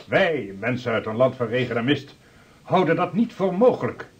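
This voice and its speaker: An actor in a Dutch radio drama playing a pompous, pious, greedy merchant with a declamatory delivery. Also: male voice, medium pitch, animated, normal speed, lightly acted. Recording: slightly dull old radio sound.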